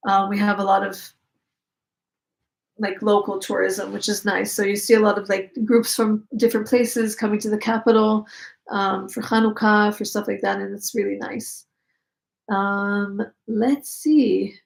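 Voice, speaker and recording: Israeli woman chatting live, gravelly voice. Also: mid-range voice, flat delivery, a little slow. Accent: Israeli Hebrew. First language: Hebrew. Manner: chatty, reads out viewer comments, many fillers. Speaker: livestream host answering viewer questions. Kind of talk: casual live chat.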